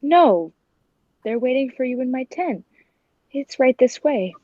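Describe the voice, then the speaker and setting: An actress playing a sly, scheming circus tiger tamer in a 1940s-style radio play, speaking in a pointed, probing way. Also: female voice, high-pitched, lively intonation, a little slow, dark tone, no echo, lo-fi sound.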